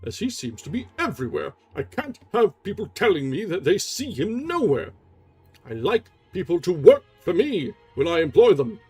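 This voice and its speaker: A man's voice: sits high, very animated, moderate pace, a little mellow, husky, soft background music, voice pushed up, heavily acted. A man voicing an irascible male opera manager who barks orders and questions sharply. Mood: cold. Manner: barking, imperious, sarcastic.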